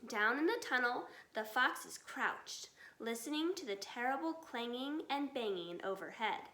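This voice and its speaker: Lady reading a kids book out loud, slow n expressive, bright voice, echoey room. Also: a little high. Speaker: storybook reader. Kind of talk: expressive children's read-aloud.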